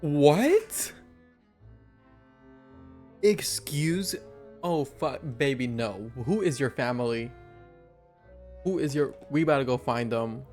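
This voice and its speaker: A young man reacts to a game as a streamer, casual and slangy. He speaks slowly but with big swings in intonation and bursts of exclamation, with some game music behind him. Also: medium pitch, expressive, darkish tone. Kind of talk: casual gaming reaction commentary.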